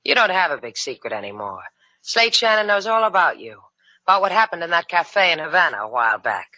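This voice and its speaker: A shrewd, cool woman from an old radio crime drama. Her bright voice rises and falls widely, coaxing and pointed, recorded dry. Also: on the low side, sing-song, fairly quick, no room sound, poor audio. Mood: pointed, accusing.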